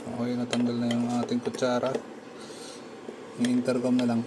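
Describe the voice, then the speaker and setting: A man's voice: mid-range voice, monotone, normal speed. A man giving a casual, conversational product review, talking through each step as he demonstrates. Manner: conversational, narrates actions step by step. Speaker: motorcycle gear reviewer and content creator. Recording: clear background noise, room ambience.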